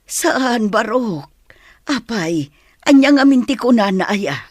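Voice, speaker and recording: A woman's voice: on the high side, animated, takes it slowly, darkish tone, no echo, studio quality. A woman playing an anxious older mother, fretful and questioning, in a Filipino audio drama.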